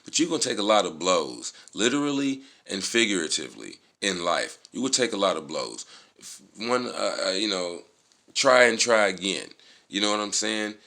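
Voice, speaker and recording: A man's voice: lowish voice, sharp tone. An American man giving a casual motivational vlog talk, emphatic and slangy, swearing freely and repeating key words. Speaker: vlogger.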